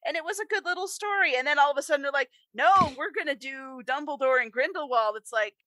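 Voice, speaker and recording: Bright, snarky woman podcaster. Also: sits high, quick pace, dry recording. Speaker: podcast co-host. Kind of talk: casual panel chat.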